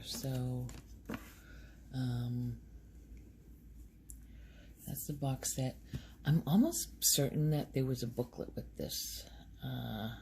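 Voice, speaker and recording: A soft-spoken woman recording casual ASMR, rambling in a hushed voice and musing aloud as she goes. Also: extremely low pitch, creaky, slight background noise. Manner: hesitant, musing aloud, trailing off. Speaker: ASMR video creator.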